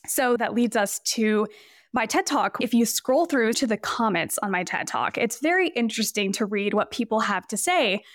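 A woman's voice: fairly high pitch, expressive, normal speed, no room sound, clean studio sound. A woman in her twenties, a podcast guest, talking casually and explaining her ideas at length with examples. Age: 20s. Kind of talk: casual interview talk.

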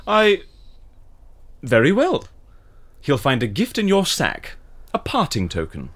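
A man playing an eccentric, witty and excitable fixer in an audio drama, with theatrical, wry delivery. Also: a little high, takes it slowly, full character voice. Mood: wry, negotiating.

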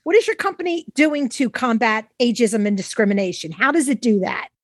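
A woman's voice: a little high, expressive, a little fast, no room sound, studio quality. A woman, interviewing conversationally as a podcast host. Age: 70s.

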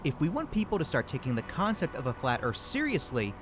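Comedy audio drama: suspicious, self-important man, very animated, noisy ambient background. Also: fairly high pitch, a little fast. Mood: grimly earnest. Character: grumpy, self-important flat-earther man, suspicious and rude.

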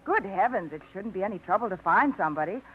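A woman's voice: mid-range voice, lively intonation, quick pace, husky. Flustered small-town woman. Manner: breathless, eager, flustered. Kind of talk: 1950s radio drama acting. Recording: tinny 1950s radio broadcast, faint hiss.

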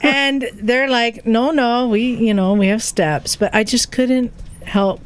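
A woman's voice: on the high side, fairly even intonation, takes it slowly, clean tone, low-quality recording. A woman hosting a podcast, chatting casually with a group. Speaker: podcast host.

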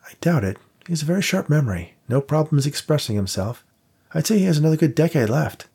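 Man doing a young woman ghost hunter, raised pitch, brisk, wry, husky grain. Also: sing-song, warm tone, full character voice. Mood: matter-of-fact.

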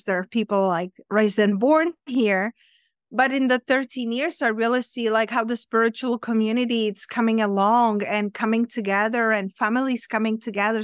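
A woman's voice: fairly high pitch, clear, resonant tone, no room sound, studio quality. A woman in her thirties from the Czech Republic with a Czech accent, chatting as a podcast guest with many fillers. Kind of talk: casual interview chat.

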